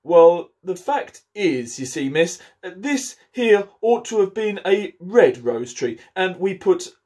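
A man's voice: high voice, very animated, takes it slowly, a little mellow, pitched above the performer's own voice, full character voice. A man putting on a fretful rustic card-gardener. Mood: nervous. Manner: rustic, stumbling, apologetic. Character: anxious humble male playing-card gardener.

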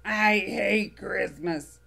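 A woman's voice: mid-range voice, extremely slow, gravelly, bright, forceful tone, slightly rough, exaggerated voice. A woman voicing a grumpy male monster who lives in a trash can, grumbling sourly in a storybook reading. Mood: grouchy. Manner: grumbling, sour.

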